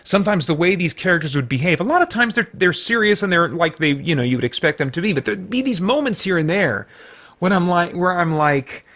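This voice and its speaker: Higher-voiced American man, rambling casual review. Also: expressive, fairly bright tone. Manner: halting, rambling, thinking aloud. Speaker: review show host. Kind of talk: casual unscripted film review.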